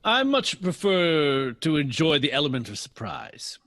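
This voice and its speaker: A man's voice: on the high side, big pitch swings, measured pace, fairly bright tone, heavily acted. A man acting in an audio drama as a genial, teasing veteran jazz bandleader interviewed on radio. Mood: breezy, self-assured.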